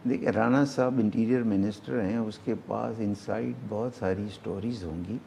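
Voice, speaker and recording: Gravelly middle-aged Pakistani man. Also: lowish voice, fairly even intonation, moderate pace, warm tone, slight background noise. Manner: conversational, repeats phrases for emphasis, mixes in English terms. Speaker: politician, interview guest. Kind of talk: informal political interview answers.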